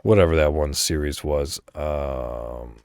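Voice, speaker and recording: A man's voice: extremely low pitch, slow pace, vocal fry, darkish tone, no echo, studio quality. A man hosting a casual solo podcast, rambling as he thinks aloud and swearing offhandedly. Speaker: podcast host.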